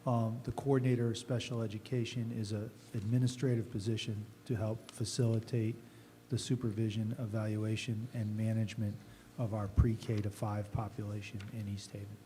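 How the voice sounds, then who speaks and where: Man, administrator, low flat mellow voice, plain explanatory delivery. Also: normal speed, hardly any pauses, slight background noise. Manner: apologetic, then plain explanation. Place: public meeting room.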